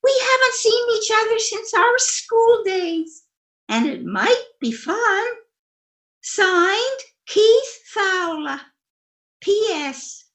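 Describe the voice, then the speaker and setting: A ditzy, dreamy sitcom wife in a very high, girlish voice. She gushes innocently and takes her time, in old-time radio comedy style with a roomy echo. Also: sing-song, takes it slowly, a bit bright, exaggerated voice. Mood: sweet, hesitant. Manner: naive, gushing, innocent delivery of absurd remarks. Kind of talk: re-enacted 1940s radio comedy acting. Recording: roomy, echoing voice recording.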